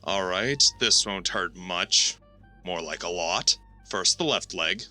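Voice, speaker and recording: Sardonic sci-fi mechanic, male actor: lowish, hard-edged bright voice, brash, teasing. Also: takes it slowly, faint music behind, exaggerated voice. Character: gruff, wisecracking male ship mechanic. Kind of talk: scripted sci-fi audio drama.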